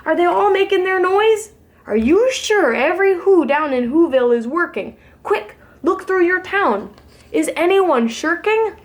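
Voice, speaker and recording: A woman's voice: extremely high pitch, expressive, a little slow, pitched above the performer's own voice. A woman voicing a kindly male elephant in a children's storybook, earnest and anxiously encouraging. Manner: earnest, questioning. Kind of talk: children's storybook character reading.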